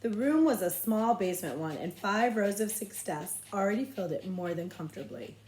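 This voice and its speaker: A woman reading a children's novel aloud as a librarian, with expressive, measured storytelling phrasing. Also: medium pitch, roomy sound, poor audio.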